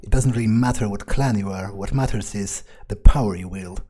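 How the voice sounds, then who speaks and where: A man roleplaying a cynical, foul-mouthed vampire in a video game, thinking aloud in a halting, brooding way. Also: fairly low pitch, dark tone, lightly acted. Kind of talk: improvised in-character game roleplay.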